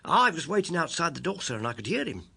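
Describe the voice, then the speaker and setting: A man's voice: on the high side, very animated, fast talker, gravelly, bright, forceful tone, husky, voice pushed up, full character voice. A man voicing an eager, deferential young working-class page boy in an audiobook, with colloquial servant speech. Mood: eager, agitated. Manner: colloquial servant speech, addresses listener as sir. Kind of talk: acted audiobook character.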